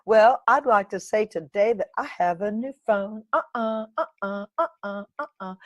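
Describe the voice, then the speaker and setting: A woman hosting her own podcast, talking in a playful, animated and uninhibited way, close to the mic. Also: mid-range voice, measured pace, no echo, highs cut off. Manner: playful, animated, sings and makes silly sounds; uninhibited, swears. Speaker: podcast host. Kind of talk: solo podcast chat.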